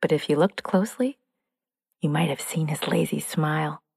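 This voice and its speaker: A woman narrating a scripted children's story in a playful, expressive, unhurried storytelling manner. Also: sits low, creaky, slightly rough, no echo, clean studio sound, in a large hall.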